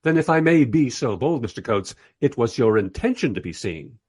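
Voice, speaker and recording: Husky, hesitant documentary interviewer. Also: male voice, mid-range voice, big pitch swings, normal speed, a little mellow, no echo, clean studio sound. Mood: polite, flustered. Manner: hesitant, polite, wry. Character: polite, squeamish male documentary interviewer narrating his account. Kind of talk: radio play narration and dialogue.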